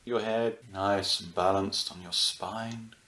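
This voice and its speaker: A man leading a guided meditation as a teacher, speaking soothingly in short phrases with unhurried pauses. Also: fairly low pitch, fairly even intonation, pushed and bright, reverberant. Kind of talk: guided meditation, unscripted and gentle.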